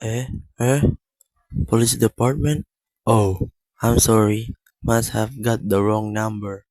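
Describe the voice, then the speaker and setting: Man acting a slow, low-voiced police sergeant. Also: fairly even intonation, a little mellow, no echo, rough recording. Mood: puzzled, offhand. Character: slow, indifferent male police desk sergeant. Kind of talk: old radio drama acting.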